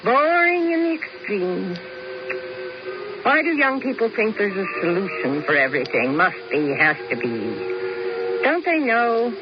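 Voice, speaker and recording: A woman's voice: medium pitch, very animated, a little slow, over music. An actress playing a cool, sardonic older woman who talks in a dry, ironic drawl, in a 1970s radio drama. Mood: bored. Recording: dull old radio broadcast with hiss.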